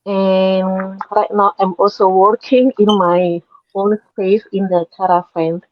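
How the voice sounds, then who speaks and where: A woman's voice: mid-range voice, level tone, slow pace, dull audio, slight background noise. A woman from Indonesia speaking as a guest in a casual video-call chat, plain and a little hesitant.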